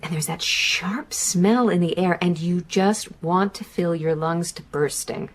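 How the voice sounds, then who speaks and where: American mom grieving her son, middle aged, kinda low voice, slow thoughtful storytelling for radio play. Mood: wistful.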